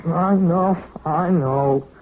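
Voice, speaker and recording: A man's voice: a little high, extremely slow, exaggerated voice. An actor playing an earnest, lovesick twenty-year-old male student, faltering as he speaks in a vintage radio drama. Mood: lovesick, insistent. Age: 20s. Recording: muffled vintage radio, faint noise.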